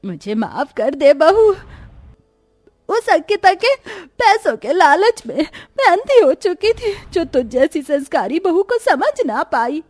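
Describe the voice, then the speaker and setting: A woman's voice: sits high, sing-song, takes it slowly, no echo, pitched above the performer's own voice, exaggerated voice. A woman voicing a greedy middle-aged mother-in-law in a moral cartoon story, with a melodramatic delivery. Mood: regretful.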